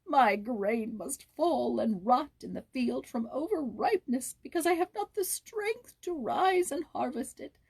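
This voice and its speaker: A woman's voice: sits high, lively intonation, unhurried, gravelly, hardly any pauses, a little mellow, husky, pitched above the performer's own voice, exaggerated voice. A woman voicing a poor, sick peasant man from a storybook in a weak, moaning lament. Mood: despairing.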